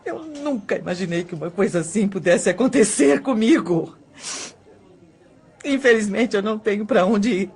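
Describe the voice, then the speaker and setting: Husky, hesitant young daughter in distress. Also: female voice, mid-range voice, expressive, darkish tone. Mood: tearful, distressed. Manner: hesitant, halting, pleading. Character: distressed young adopted daughter, timid and ashamed, confessing. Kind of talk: audio drama acting.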